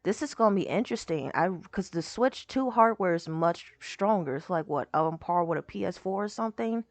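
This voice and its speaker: A young woman with a hoarse voice, rambling casually as she reacts to a game showcase video. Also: lowish voice, expressive, brisk, no echo. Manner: rambling, lots of "like" and trailing off.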